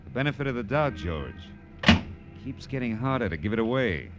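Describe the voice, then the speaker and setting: A man's voice: medium pitch, very animated, a little fast, dull audio, lightly acted. An American man playing a tough, world-weary private detective in an old radio noir drama, clipped and slangy, narrating and talking bluntly. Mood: grim. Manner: clipped, hard-boiled, slangy. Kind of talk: 1940s-50s radio noir acting and first-person narration. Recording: vintage radio recording with music behind.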